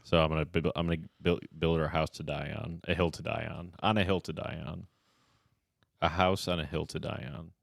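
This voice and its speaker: A man's voice: a bass voice, flat delivery, brisk, creaky, dry recording. A man co-hosting a comedy podcast, rambling and riffing on wordplay in casual banter from a studio. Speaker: podcast co-host.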